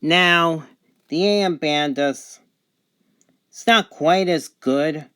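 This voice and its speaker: A man's voice: on the high side, slow, pushed and bright, clear, resonant tone. A man talking casually and matter-of-factly through a product demonstration, spelling out letters and numbers carefully. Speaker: product reviewer. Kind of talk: casual radio review demonstration.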